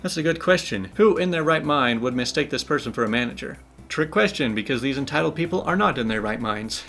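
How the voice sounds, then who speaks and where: A young man, a channel host, reading online stories aloud in a playful, animated way with his own commentary. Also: mid-range voice, brisk, vocal fry. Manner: animated, playful, laughs while telling. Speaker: channel host, story reader.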